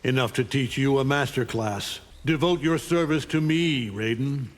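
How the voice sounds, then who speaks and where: An actor playing an arrogant, menacing alien superhero conqueror, imperious and taunting, in a fighting game. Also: male voice, medium pitch, normal speed, in a large hall, lightly acted. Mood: commanding, taunting. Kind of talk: fighting game character dialogue.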